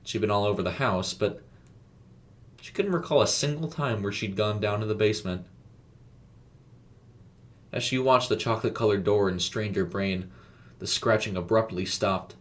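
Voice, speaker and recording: A man narrates a scripted story. His voice sits on the low side, and he tells it in a measured, descriptive manner. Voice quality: low-pitched.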